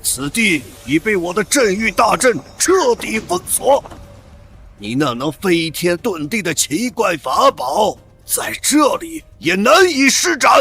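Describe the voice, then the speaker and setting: A man voicing a haughty, condescending old cultivator and senior sect guardian in a fantasy animated comic. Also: high-pitched, a little slow, vocal fry, husky, full character voice. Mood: commanding. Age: older. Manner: pompous, condescending, theatrical.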